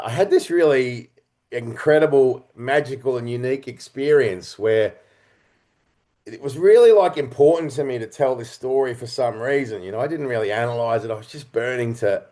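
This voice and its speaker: Man, middle-aged, mid-pitched voice, interview storytelling, thoughtful pauses, earnest phrasing. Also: darkish tone. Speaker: interview guest, writer, director and performer.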